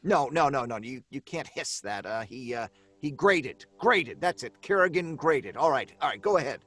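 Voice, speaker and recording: An actor playing a cornered, anxious writer in an old-time radio drama, hesitant and theatrical in delivery. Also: male voice, medium pitch, animated, moderate pace, fairly bright tone, breathy edge, soft background music. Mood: musing, businesslike. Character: cornered, anxious male writer, stubborn and pleading.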